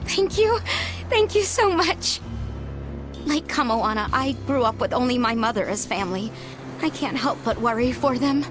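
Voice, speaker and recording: Actress as a timid, high-voiced innkeeper's daughter. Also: female voice, lively intonation, normal speed, close to an everyday voice. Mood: grateful, worried. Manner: hesitant, pleading. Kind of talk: video game voice acting. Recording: music under the voice.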